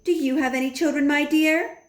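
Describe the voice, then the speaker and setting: Woman doing a doting old grandmother, high and calm, roomy echo. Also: a little fast, close to an everyday voice. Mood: affectionate. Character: warm, loving old grandmother.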